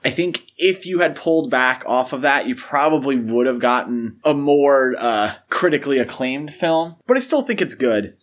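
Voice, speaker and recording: A man hosting a podcast, talking casually about films in an explanatory, opinionated way with long, winding thoughts. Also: medium pitch. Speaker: podcast host. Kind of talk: casual film discussion.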